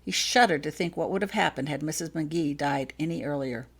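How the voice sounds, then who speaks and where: A woman's voice: deep voice, a little fast, vocal fry, husky. A woman reading a story aloud as a scripted audiobook narration. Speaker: author reading own fiction.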